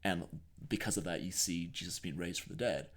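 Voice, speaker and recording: A man's voice: deep voice, brisk. A man co-hosting a podcast, explaining his thoughts in a reflective, conversational way and thinking aloud as he goes. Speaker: podcast co-host. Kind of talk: conversational discussion.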